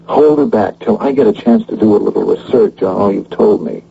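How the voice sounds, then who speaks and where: An actor playing a streetwise, wisecracking police detective in a radio drama, with colloquial talk. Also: male voice, fairly low pitch, a little fast, creaky, breathy edge, exaggerated voice. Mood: earnest, scheming. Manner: colloquial, hesitant then cocky. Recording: dull, humming old radio broadcast recording.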